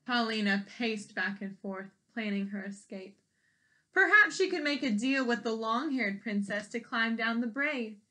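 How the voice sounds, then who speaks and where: A woman reading a children's story aloud expressively, pausing in a teacherly way to ask young listeners simple questions. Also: on the high side, lively intonation, sharp tone. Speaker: storybook read-aloud reader.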